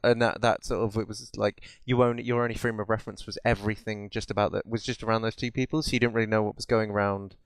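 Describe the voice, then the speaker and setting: A man with a fairly low, clear and resonant voice talks casually as a podcast co-host. He rambles through his thoughts in a conversational way. The sound is dry, with little room around him. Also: little pitch movement, fast, hardly any pauses, no room sound. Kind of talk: casual film chat.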